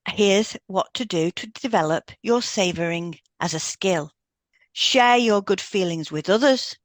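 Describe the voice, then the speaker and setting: Woman podcast host reading out how-to steps from a script, mid pitch, very dry recording. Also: a little slow, dry recording. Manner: reads out step-by-step instructions with brief asides. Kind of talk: scripted podcast narration, instructional.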